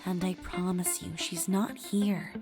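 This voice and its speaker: A woman voicing a mysterious, frank otherworldly girl in a visual novel, speaking deliberately and with caution. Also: fairly low pitch, level tone, lightly acted. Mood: urgent warning. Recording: loud guitar music under voice. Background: guitar music.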